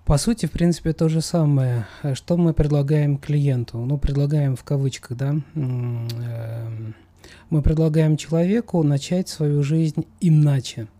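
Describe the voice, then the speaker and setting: A man's voice: fairly high pitch, steady tone, measured pace, dark tone. A man speaking as a psychologist guest in a discussion, coaching assertively with emphatic repetition. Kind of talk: conversational expert discussion.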